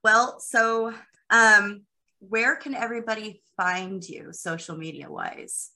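A woman's voice: medium pitch, slow pace, bright, forceful tone, rough recording. A woman from Texas hosting a podcast interview, chatty and gushing, with lots of filler words. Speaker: podcast host. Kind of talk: casual interview chat.

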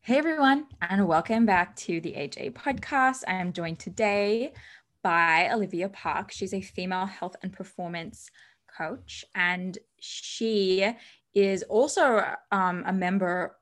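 A woman's voice: mid-range voice, unhurried. A woman hosting a podcast interview, chatting loosely and eagerly with fillers and restarts. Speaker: podcast host. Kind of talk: casual interview chat.

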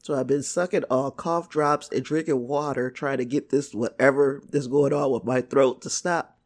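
Hoarse man clearing his throat. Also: medium pitch, steady tone, moderate pace. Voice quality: raspy. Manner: rambling, frequent throat clearing. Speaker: podcast host. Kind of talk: solo podcast monologue.

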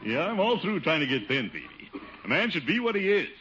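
A sentimental, blustering middle-aged uncle from a 1950s radio comedy. His voice is forceful and highly animated, with theatrical self-importance. The old broadcast sounds dull and slightly noisy. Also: fairly quick. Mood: jovial, defiant. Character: pompous, sentimental middle-aged uncle, comically put-upon. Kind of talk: 1950s radio sitcom acting.

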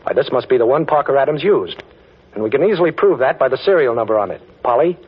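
Dull vintage radio sound carries a confident, quick-witted male detective. His husky, medium-pitched voice has a breezy, wry way of explaining things in classic 1940s drama style. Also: lively intonation. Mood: excited, reasoning.

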